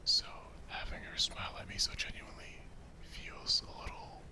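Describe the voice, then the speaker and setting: A man reading visual novel narration in a hushed, deliberate ASMR whisper.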